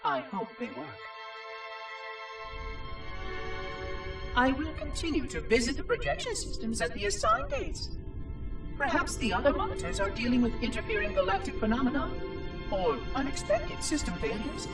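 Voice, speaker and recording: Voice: high voice, wildly swooping pitch, brisk, exaggerated voice. An actor voicing a prim, fussy artificial intelligence monitor in a game cutscene, speaking formally and precisely as if musing to itself. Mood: uneasy, formal. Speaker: installation monitor logging reports. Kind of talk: scripted game cutscene monologue. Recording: roomy echo, distant, music behind.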